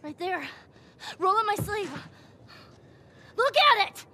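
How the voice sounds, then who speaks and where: An actress playing a fierce, defiant teenage girl survivor in a video game, speaking forcefully. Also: very high voice, very animated, takes it slowly, a little hiss or hum, heavily acted. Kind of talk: dramatic video game cutscene acting.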